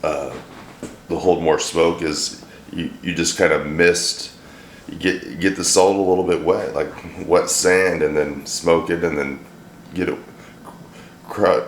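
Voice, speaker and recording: A middle-aged man with a deep, slightly hoarse voice, chatting and joking through a home cooking vlog. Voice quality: deep, a little hoarse from a throat bug. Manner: joking, rambling, dry self-deprecating humor. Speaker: vlogger, home cook. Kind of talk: casual cooking vlog chat. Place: home kitchen. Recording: echoey kitchen, faint hiss.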